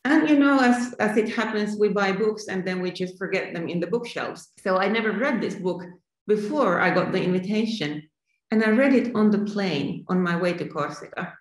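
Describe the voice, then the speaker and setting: A Finnish woman in her fifties, an artist calmly and thoughtfully answering questions over a video call from home. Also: mid-range voice, normal speed. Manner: thoughtful, reflective, restarts phrases. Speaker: artist guest. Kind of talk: remote interview answers. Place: sunny room at home. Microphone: video call laptop camera. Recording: echoey room.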